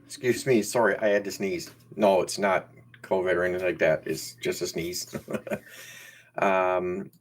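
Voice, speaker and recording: Man with a Newfoundland accent, somewhat low voice, hosting a casual live stream with stop-start delivery. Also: steady tone, a little hiss or hum. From Newfoundland, Canada. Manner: halting, repeats words and restarts. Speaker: YouTube channel host. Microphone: camera used as webcam.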